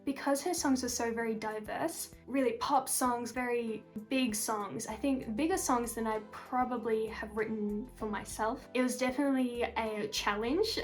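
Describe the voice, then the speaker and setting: A woman's voice: a little high, steady tone, normal speed, few pauses, faint music behind. A young woman with an Australian accent, a singer answering interview questions in a casual, upbeat, chatty way. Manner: chatty, upbeat, frequent "like" and "kind of".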